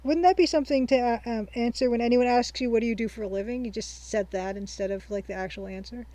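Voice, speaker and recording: A young woman hosting a game playthrough, chatting casually and thinking aloud as she reads her notes slowly. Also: fairly high pitch, fairly even intonation, dark tone, dry recording. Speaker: let's-play host. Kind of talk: casual let's-play commentary.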